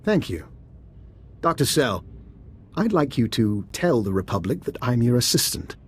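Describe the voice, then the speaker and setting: An actor playing a polite, resourceful scientist in a video game, speaking articulately and courteously. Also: male voice, medium pitch, sing-song, normal speed, breathy edge. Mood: polite, persuasive. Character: polite, resourceful doctor scheming with a spy.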